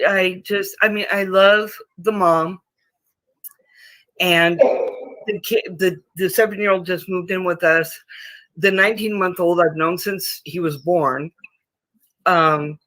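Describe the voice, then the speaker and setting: A woman in her fifties chatting casually on a livestream panel, rambling with halting restarts. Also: fairly low pitch, fairly bright tone, dry recording. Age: 50s. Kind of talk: casual group chat.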